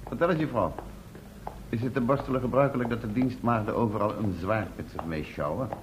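An actor in an old radio drama playing a shrewd, suspicious older assistant to a professor, dry and bossy. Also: male voice, mid-range voice, fairly quick, close to an everyday voice. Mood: inquisitive. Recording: dull old radio recording, faint noise.

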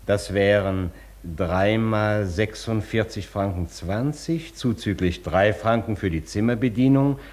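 An actor in a German radio play as a formal, unyielding hotel porter, courteous, measured and officious. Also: male voice, lowish voice, darkish tone, lightly acted. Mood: formal, regretful.

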